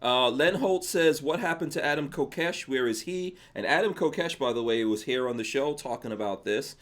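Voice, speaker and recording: A man's voice: medium pitch, normal speed. Male podcast host, easygoing and chatty, mid-range voice. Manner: relaxed, chatty, drifts into mumbled asides. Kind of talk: casual chat, reading viewer comments.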